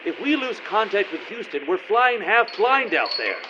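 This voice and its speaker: An actor playing an indignant, bewildered astronaut in a comedic radio play, given to melodramatic outbursts. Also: male voice, high-pitched, big pitch swings, normal speed, noisy background. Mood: panicked, furious. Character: exasperated, paranoid male astronaut, indignant and bewildered. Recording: muffled audio with music behind.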